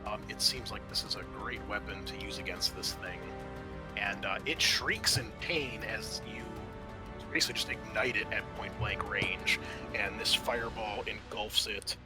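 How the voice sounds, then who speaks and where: A man narrating a tabletop roleplaying game as game master, pausing often as he works out what to say. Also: fairly low pitch, over loud music.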